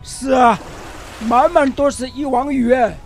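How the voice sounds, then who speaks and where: A man's voice: very high-pitched, steady tone, unhurried, soft background music. An actor playing a plain-spoken, fretful old fisherman in a biblical radio drama, with a theatrical, plaintive delivery. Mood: urgent.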